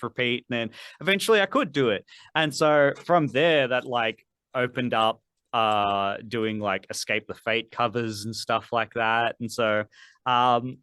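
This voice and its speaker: A man's voice: mid-range voice, fairly bright tone, no echo. Rambling man, dry close sound. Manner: rambling, filler-heavy, swears casually. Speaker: podcast guest, metal vocalist. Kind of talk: casual interview chat.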